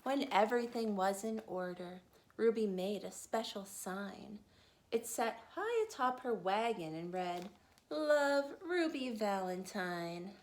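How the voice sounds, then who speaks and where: A mother reading a rhyming picture book aloud for children, gentle and a little tired, in a steady storytelling cadence. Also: medium pitch, a little slow.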